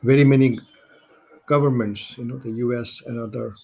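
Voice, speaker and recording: A man from Nepal with a Nepali accent hosts a solo podcast, speaking in halting, deliberate phrases. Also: medium pitch, fairly even intonation, vocal fry, darkish tone, slightly rough. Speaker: podcast host.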